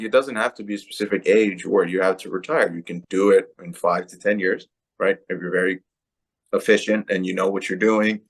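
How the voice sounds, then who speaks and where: Male co-host, very low voice, loose conversational delivery. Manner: conversational, rambling, tag questions. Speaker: podcast co-host. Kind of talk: casual podcast chat.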